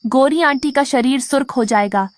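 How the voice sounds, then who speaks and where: Woman as a high-voiced little boy bird. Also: normal speed, no echo, close to an everyday voice. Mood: eager. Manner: childlike, earnest. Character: young boy bird, eager, dutiful son. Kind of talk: Hindi children's cartoon voice acting.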